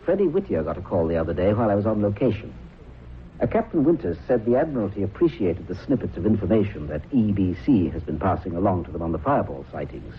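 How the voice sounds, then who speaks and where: An actor playing a keen, curious reporter and husband in a vintage radio drama. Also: male voice, low-pitched. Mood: brisk, informative. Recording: noisy, narrow-band old radio recording.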